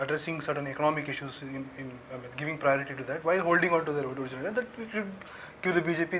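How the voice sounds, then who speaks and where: A man analyses policy on a live TV panel, talking fast in a slightly raised voice. He trips over fillers as he builds his points. Faint noise hums behind him. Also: on the high side, a bit bright, low-quality recording. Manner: hesitant, many fillers, analytical.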